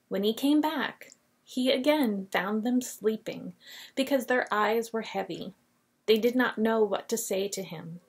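Husky-voiced woman teaching kids' church. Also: a little high. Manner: expressive storytelling, reads scripture aloud. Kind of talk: Bible lesson for kids on video. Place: small painted classroom office, indoors. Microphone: webcam at desk.

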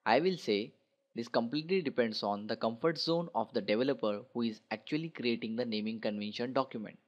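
A man's voice: medium pitch, a little fast. Man teaching, dull lo-fi sound. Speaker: programming instructor. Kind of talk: slideshow tutorial narration. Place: voice-over for a screen recording. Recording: dull lo-fi voice recording.

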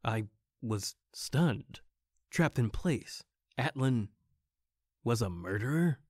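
A man's voice: mid-range voice, expressive, takes it slowly, no echo, studio quality. A man playing an earnest private detective who narrates his own case in a noir-parody audio drama. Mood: shocked, subdued.

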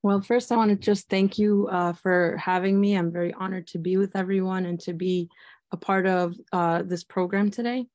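A woman's voice: medium pitch, level tone, normal speed, darkish tone, no room sound, clean studio sound. A woman teacher on a panel, speaking earnestly and reflectively, with many fillers and restarts. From United States. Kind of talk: panel discussion answer.

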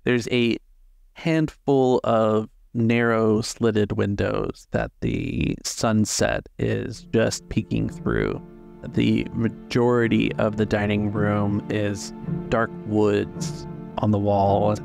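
A man working as game master, improvising narration for a tabletop roleplay with halting, measured phrasing. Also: on the low side, little pitch movement, vocal fry, a little mellow. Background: music.

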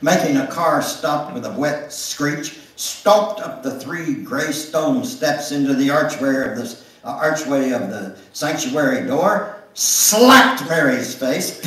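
An older man reads a memoir aloud with deliberate, theatrical storytelling. His pace is slow and his pitch sits in the middle range for a man. The sound is very echoey, picked up at a distance in a room. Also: a bit bright. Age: older, 70s or above. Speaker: guest speaker reading aloud. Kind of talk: dramatic reading of a memoir. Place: church sanctuary, at a lectern. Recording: echoey room, recorded from far off.

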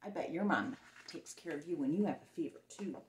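A middle-aged woman reads a picture book aloud to young children with theatrical emphasis and dramatic pauses. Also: sits low, darkish tone. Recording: roomy echo on the voice.

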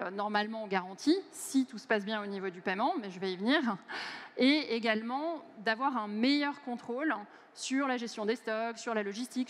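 A woman in her forties giving a conference keynote on a large stage through a headset mic, speaking fluently but with many hesitant fillers. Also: fairly high pitch. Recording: long hall reverb.

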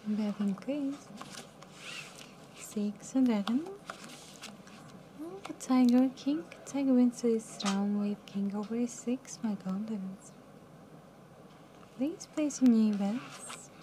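Soft-spoken young woman dealing cards slowly. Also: a little high, a little hiss or hum, poor audio. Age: young adult. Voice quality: soft, mumbly. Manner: calm, polite, repetitive dealer calls. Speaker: live casino card dealer. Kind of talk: announcing card game rounds. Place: casino studio table set.

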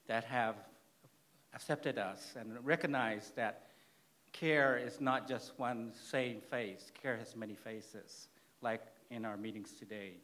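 A man with a Thai accent, the director of a charity, giving an earnest plenary speech at a conference, halting now and then to restart his words. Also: mid-range voice, a bit bright. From Thailand. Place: large conference hall.

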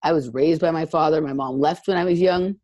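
A woman with a deep voice speaking candidly and reflectively in a discussion, with lots of fillers. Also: a little fast, darkish tone. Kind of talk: conversational discussion.

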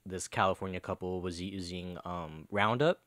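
Relaxed young man with a low, dry voice. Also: moderate pace, no room sound. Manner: relaxed, jokey, slangy. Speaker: podcast co-host. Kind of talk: casual chat. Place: living room. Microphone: boom mic on arm.

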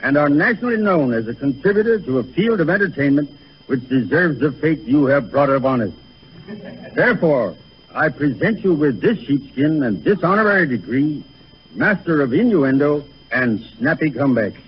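A man with a fairly high, very animated voice gives a formal, oratorical address as a dean on 1930s radio. The broadcast sounds muffled. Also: normal speed, a little hiss or hum. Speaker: university dean, guest. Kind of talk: formal mock-ceremonial speech on 1930s radio.

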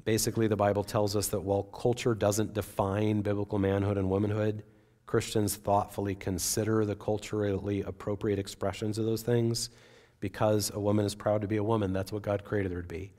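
A man in his forties preaches from behind a wooden pulpit on a church stage. His voice sits a little low, and he speaks in an earnest, measured way. He often voices the doubts of his listeners as quotes. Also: level tone, warm tone. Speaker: pastor. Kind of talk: sermon.

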